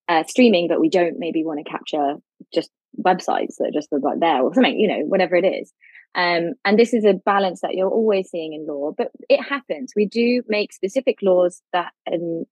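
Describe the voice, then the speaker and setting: A woman's voice: fairly low pitch, darkish tone, breathy edge, dry recording, low-quality recording. A woman speaking as a legal expert guest, explaining things informally and plainly with everyday examples.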